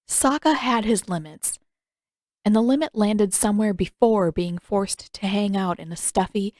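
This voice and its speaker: A woman's voice: mid-range voice, no room sound. Wry woman narrating a story, very dry sound. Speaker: narrator, fan fiction reader. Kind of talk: expressive scripted story narration.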